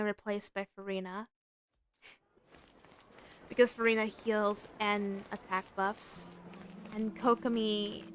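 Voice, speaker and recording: A woman's voice: on the high side, a bit bright, slight background noise. A woman game livestreamer chatting casually in commentary over her stream.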